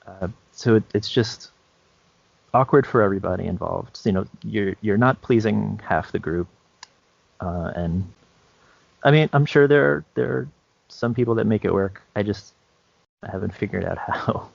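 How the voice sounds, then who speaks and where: A man's voice: lowish voice, unhurried, creaky, long pauses, no room sound, lo-fi sound. A man co-hosting a podcast, explaining things casually in a hesitant, easygoing way. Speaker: podcast co-host.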